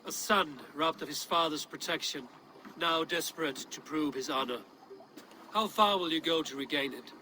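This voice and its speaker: An actor playing a stern Viking warrior chieftain in a video game, speaking in a blunt, measured, commanding way. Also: male voice, fairly high pitch, bright, forceful tone, a little hiss or hum, rough recording. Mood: grave, probing. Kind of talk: scripted video game drama acting.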